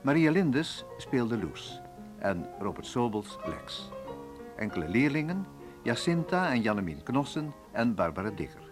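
A man's voice: medium pitch, big pitch swings, measured pace. A man announcing the closing credits of a radio drama in a scripted style. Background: plucked guitar music.

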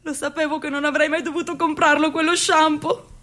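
A woman's voice: sits high, flat delivery, fairly bright tone. Woman acting a dreamy young startup hopeful. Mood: excited. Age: young adult. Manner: dreamy, self-mocking, drawn-out phrasing. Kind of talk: theatrical radio monologue.

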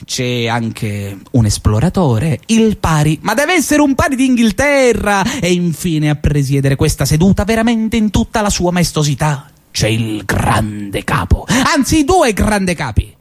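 Un attore fa uno speaker radiofonico beffardo e cantilenante. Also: male voice, a little high, big pitch swings, unhurried. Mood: mocking. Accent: Sicilian. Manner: mocking, satirical, sing-song announcer. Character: sardonic young male radio announcer mocking local bosses. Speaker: actor playing a pirate-radio host. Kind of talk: theatrical radio monologue. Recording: theatre stage recording.

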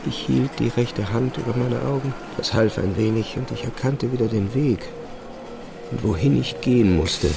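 An actor playing an ailing, weary first-person narrator in a literary radio play, measured and introspective. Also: male voice, mid-range voice, vocal fry, noisy background, natural voice. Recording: music bed behind narration.

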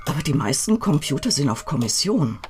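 An actress playing an elderly wife in a German radio drama, wistful, fretful and devoted, often in a questioning manner. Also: female voice, sits low, sing-song, fairly quick. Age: older. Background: music.

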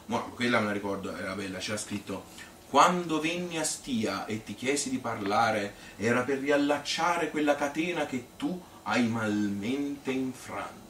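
A man's voice: mid-range voice, lively intonation, a little slow, fairly bright tone, distant mic. Old Tuscan grandma played by a man, feisty, fond, rambling stage storyteller; roomy, noisy recording. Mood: amused, nostalgic. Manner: rambling, colloquial, repetitive, with little interjections. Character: old Tuscan grandmother reminiscing fondly, chatty, feisty, devoted wife. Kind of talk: spontaneous-sounding theatrical monologue.

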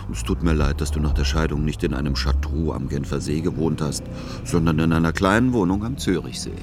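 An actor playing a weary, melancholic middle-aged son in a radio play, speaking in an understated, measured, reflective way. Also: male voice, rumbling low, noisy background. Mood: regretful. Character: weary, melancholic adult son narrating his journey. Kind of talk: literary first-person narration and radio play dialogue.

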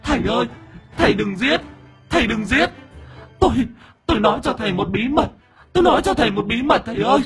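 Shrill, sly demon. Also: male voice, animated, fast, pauses often, a bit bright, soft background music, full character voice. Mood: whining, pleading. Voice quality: shrill, strained. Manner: whining, wheedling, cackling. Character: sly, vulgar hungry demon possessing villagers, pleading then mocking.

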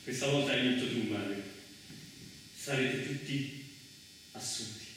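A man voicing a solemn company president in a theatre monologue, measured and formal as he makes announcements. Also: medium pitch, fairly bright tone, voice pushed down, close to an everyday voice. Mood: solemn, conceding. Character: company president, slow solemn male boss conceding defeat. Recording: very echoey room, distant mic, faint noise.

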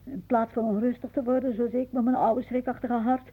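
A woman's voice: a little high, steady tone, fairly quick, slightly rough. An actress playing an anxious, indignant older lady with a prim, questioning manner in a radio play. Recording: muffled, narrow old radio recording.

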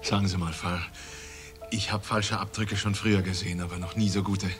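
An actor playing a curious fingerprint enthusiast questioning someone in a radio crime drama. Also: male voice, low voice, steady tone, brisk, close to an everyday voice. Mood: admiring curiosity. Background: music.

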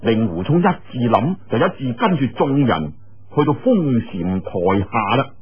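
A man with a Cantonese accent telling a martial arts story in a theatrical, colloquial, expressive way. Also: mid-range voice, moderate pace, husky. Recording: muffled narrow-band radio-like sound.

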